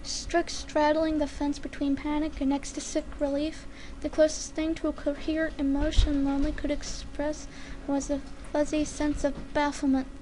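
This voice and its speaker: A woman reading a story aloud dramatically, in a deliberate and careful way. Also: high voice, completely flat delivery, dark tone, slight background noise, low-quality recording. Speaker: fan fiction reader.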